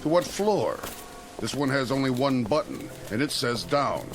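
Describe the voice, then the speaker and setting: An actor playing a blustery, pompous military colonel, formal and demanding, in a radio drama. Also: male voice, medium pitch, animated, normal speed, noisy background, natural voice. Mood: impatient. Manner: pompous, clipped commands.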